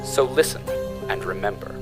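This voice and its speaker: A man's voice: on the low side, normal speed, over music, natural voice. A man playing an ominous corporate announcer reading a scripted audio drama introduction. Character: male corporate announcer reciting an ominous slogan.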